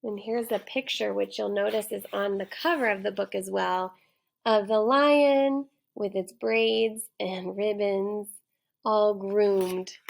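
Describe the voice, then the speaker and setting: Slow, creaky-voiced woman reading to children. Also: mid-range voice, fairly even intonation. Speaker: third grade teacher. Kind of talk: children's read-aloud narration.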